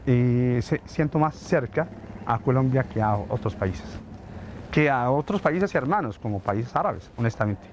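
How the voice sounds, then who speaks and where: A man's voice: mid-range voice, animated, a little slow, a little mellow. Earnest man, noisy street behind. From Palestine. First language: Arabic. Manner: earnest, emphatic, repetitive phrasing. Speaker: immigrant interviewee. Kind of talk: heartfelt interview testimony.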